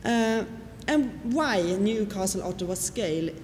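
A woman whose first language is Swedish, giving a conference lecture and often pausing on fillers. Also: medium pitch, expressive, darkish tone. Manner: explanatory, with frequent fillers and questions to the audience. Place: lecture hall. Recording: reverberant hall with faint noise.

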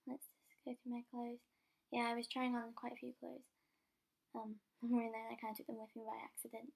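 A young woman with a cold talks through her gameplay, her high voice husky and croaky. Her tone is mellow and a little dark, and she chats casually, mocks herself and sometimes drops to a whisper. She speaks into a desk microphone. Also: flat delivery, brisk. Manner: chatty, self-mocking, drops into whispers. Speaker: gaming channel host. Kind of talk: casual game commentary.

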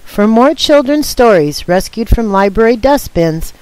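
Female narrator for a children's picture book, expressive and varied in pitch, dry recording. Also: measured pace, no echo, poor audio. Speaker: storybook reader.

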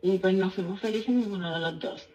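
A Puerto Rican woman speaks as a caller to an advice show. Her middle-pitched voice is earnest and a little halting. She sounds somewhat far from the mic, with faint noise around her. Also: warm tone, muffled recording. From Puerto Rico. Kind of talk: personal confession on a call-in show.

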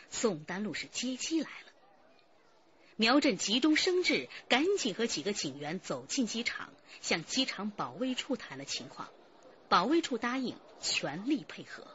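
A woman's voice: fairly high pitch, sing-song, measured pace, a bit bright, highs cut off. A woman narrating a crime radio drama with scripted, suspenseful storytelling delivery.

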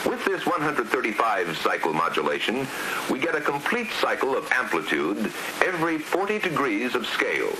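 Man, American accent, 1950s instructional narration, formal didactic delivery, animated pitch, hissy old soundtrack. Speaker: training film narrator. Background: steady hiss.

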